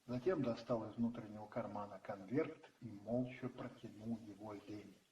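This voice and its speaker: A man narrating a radio play dramatically from a script, in measured phrases with pauses. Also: lowish voice, warm tone. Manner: measured, pausing between phrases. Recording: roomy echo, distant, faint music behind.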